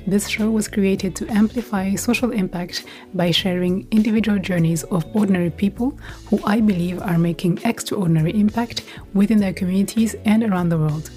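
A woman's voice: medium pitch, warm tone. A woman hosting a podcast, presenting in a composed scripted way and putting questions to guests. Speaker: podcast host.

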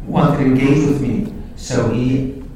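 A man's voice: medium pitch, fairly even intonation, unhurried, dark tone, a little hiss or hum. Distant man in an echoey room. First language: Hebrew. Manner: hesitant, with frequent restarts. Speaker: co-host and Chabad emissary. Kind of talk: personal storytelling in a live interview. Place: live recording before an audience.

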